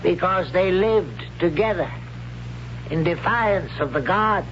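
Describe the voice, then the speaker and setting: An actor playing a stern, devout older high priest and father in a solemn, declamatory 1970s radio drama style. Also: animated, slow pace. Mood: grave. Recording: dull, noisy 1970s radio recording.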